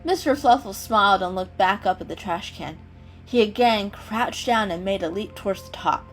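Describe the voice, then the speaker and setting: A woman's voice: medium pitch, normal speed, soft background music. A woman reading a children's story aloud as dramatic narration, in a storyteller's style.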